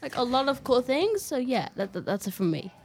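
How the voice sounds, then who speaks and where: High, lively, giggly girl. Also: brisk, crowd noise. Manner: giggly, excitable. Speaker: podcast guest. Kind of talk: casual chat among friends. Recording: clear background noise.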